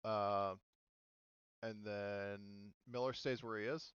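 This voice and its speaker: Smooth-voiced man running a tabletop game. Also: fairly low pitch, fairly even intonation, slow pace, a bit bright, dry recording, muffled recording. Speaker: game master. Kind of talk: casual tabletop game narration and rules talk.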